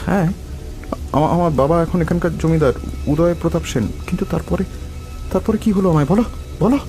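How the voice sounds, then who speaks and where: A man's voice: on the high side, fairly quick, warm tone, faint music behind, close to an everyday voice. An actor playing an earnest, distressed male character in a melodramatic cartoon village tale. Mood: shocked, urgent. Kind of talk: melodramatic Bengali cartoon acting.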